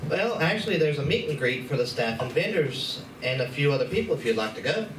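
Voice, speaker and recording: A man's voice: on the high side, very animated, a little fast, distant mic. A man playing a nervous, hesitant convention helper in a live amateur radio play, in a noisy, echoey room. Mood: awkward. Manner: hesitant, awkward. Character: nervous, awkward male convention staffer, eager to help. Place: large indoor room at a convention.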